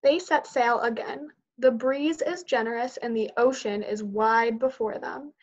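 A young woman reads a children's picture book aloud as a teacher. Her voice sits fairly high. The sound is a little dull, as on a video call. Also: a little slow. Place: indoors, on a group video call.

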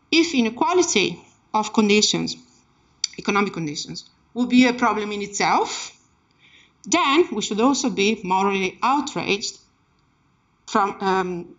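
A woman giving an academic keynote talk as personal storytelling, with an emphatic, impassioned delivery. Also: mid-range voice. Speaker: keynote speaker, academic researcher. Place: indoor seminar room, speaking at a lectern. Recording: dull, muffled lecture-room recording.

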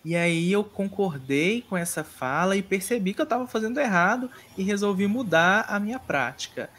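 Slightly high-voiced man giving a lecture. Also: animated, fairly bright tone. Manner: measured, explanatory, frequent hesitation fillers. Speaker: course instructor. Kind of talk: online mini-course lecture.

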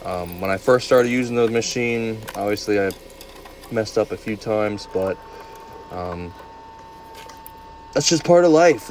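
A young man working as a plumber, chatting casually and teasing his viewers while filming himself on a job inside a house. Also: fairly low pitch. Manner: playful, teasing, calls viewers "baby". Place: basement and tiled bathroom in a house, indoors. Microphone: phone held or worn, first-person view. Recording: noisy job-site recording.

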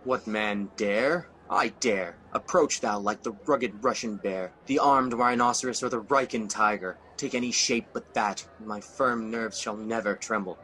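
A man's voice: lowish voice, a little slow, hardly any pauses, bright, forceful tone, rough recording. A man playing Macbeth, a fearful, haunted king, in a radio drama with an intense, shaken delivery.

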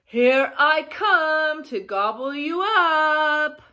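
Woman putting on a loud, bellowing, greedy storybook troll. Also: fairly high pitch. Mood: roaring, hungry. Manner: roaring, bellowing, drawn-out threats. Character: big ugly male troll, hungry, bullying, greedy. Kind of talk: animated children's storybook reading.

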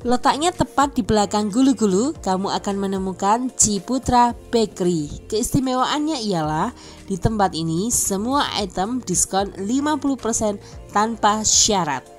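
A woman's voice: mid-range voice, lively intonation, normal speed, soft background music. A woman with an Indonesian accent giving an upbeat, scripted voice-over for a food vlog, reading out prices and menu items in lists. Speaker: food vlogger.

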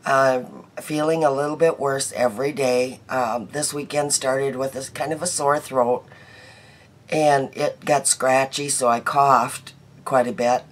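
A middle-aged woman vlogging her daily makeup in a small bedroom, chatty and homey, her voice hoarse from a cold. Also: rumbling low, steady tone, unhurried. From United States. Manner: chatty, homey, laughs easily, trails off. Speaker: beauty vlogger. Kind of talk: casual daily makeup chat to camera.

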